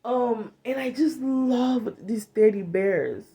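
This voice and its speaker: An animated woman in her thirties from Cameroon talks straight to her phone camera in a small bedroom. She is frank and blunt, swears freely, and now and then acts out short quoted lines in other voices. The sound is close and casual, like a phone held at arm's length. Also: fairly high pitch, slow pace. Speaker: vlogger. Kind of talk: casual talk to camera on trauma healing.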